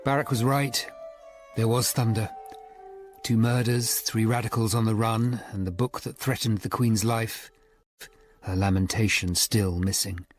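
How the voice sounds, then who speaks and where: An actor playing an earnest, educated Tudor lawyer-detective in a radio drama, speaking in a measured, formal way. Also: male voice, fairly low pitch, steady tone, faint music behind, lightly acted. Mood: grave, tense narration. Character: sober, educated Tudor lawyer-detective narrating his investigation. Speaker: narrator and lead character. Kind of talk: radio drama narration and dialogue.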